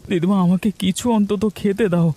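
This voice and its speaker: A man's voice: sits high, level tone, brisk, mellow. A man voicing a whiny, remorseful young grandson who pleads, in a fairy-tale cartoon. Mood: miserable.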